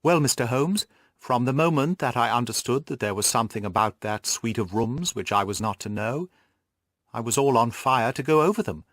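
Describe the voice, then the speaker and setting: A man's voice: mid-range voice, animated, moderate pace, a bit bright, dry recording, lightly acted. A man narrates as a curious, observant young governess in a Victorian audiobook story. The delivery is earnest and formal, rising and falling expressively. The sound is dry, with no room around it.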